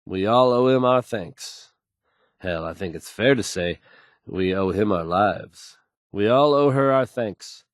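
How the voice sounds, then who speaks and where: An actor playing a confident, smooth male radio news host in a video game, folksy and dramatic in his announcing. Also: fairly low pitch, expressive, unhurried, dry recording, clean studio sound. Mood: confident, emphatic.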